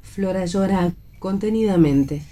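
A woman's voice: mid-range voice, unhurried, dark tone, a little hiss or hum. A woman with an Argentine accent reading stage directions as narrator of a radio play, in scripted narration.

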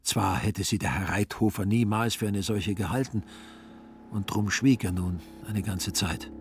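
A man reads scripted narration for a literary radio play. His voice is slightly low and quite husky, suited to prose storytelling. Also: a little slow.

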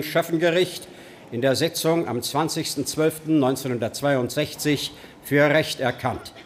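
An actor playing a stern, formal presiding judge in a radio drama, speaking officially and solemnly. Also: male voice, fairly high pitch, slight background noise, lightly acted.

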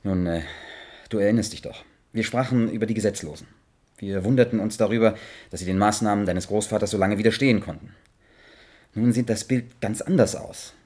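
An actor playing a troubled, earnest investigator in a German science fiction radio drama, with a husky voice. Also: male voice, on the low side, fairly quick, darkish tone, lightly acted. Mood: uneasy. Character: troubled, restless male investigator, earnest and insistent.

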